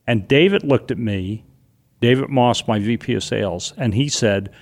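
A middle-aged man with a loud voice, telling a personal story as an interview guest, animated and vivid, acting out what people said. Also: mid-range voice, normal speed. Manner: vivid, animated retelling with acted-out quotes.

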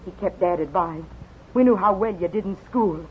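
A woman's voice: fairly low pitch, moderate pace, lightly acted. A woman acting an earnest young frontier daughter. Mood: earnest explaining. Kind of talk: 1950s radio western acting. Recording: tinny, noisy 1950s radio recording.

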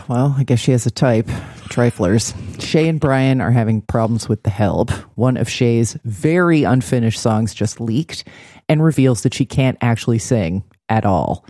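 Brief: female podcast host, dark relaxed timbre, dry close sound, sardonic recap delivery. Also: a bass voice, measured pace, no room sound. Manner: sardonic, blunt. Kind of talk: conversational TV episode recap.

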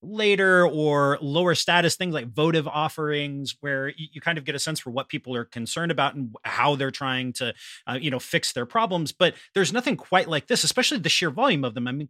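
A man's voice: on the high side, animated, a little fast, bright, forceful tone, no echo, studio quality. A man hosting a scholarly interview, speaking conversationally and offering articulate, reflective commentary before his questions. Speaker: interviewer, host.

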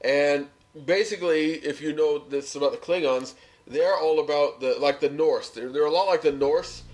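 A man's voice: fairly high pitch, normal speed, a little mellow. A man chatting casually as a podcast co-host, recapping a television episode.

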